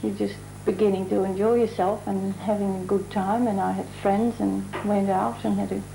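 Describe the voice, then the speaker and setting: A woman from the Netherlands, a mural artist, talking thoughtfully in a documentary interview and pausing to find her words. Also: medium pitch, flat delivery, creaky, mellow, a little hiss or hum, rough recording. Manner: chatty.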